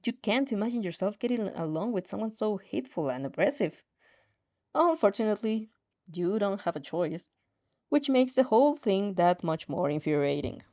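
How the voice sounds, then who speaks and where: A woman's voice: medium pitch, expressive, normal speed, a little mellow. A woman narrating fan fiction expressively, with casual reactions, on a slightly dull, lo-fi recording.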